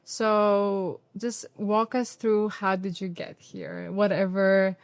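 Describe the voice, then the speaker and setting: A woman hosts a podcast with enthusiastic, rambling questions, peppered with "like". Her voice sits at a middle pitch. The sound is dry, with no room echo. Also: flat delivery, slow, creaky. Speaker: podcast host. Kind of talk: warm podcast interviewing.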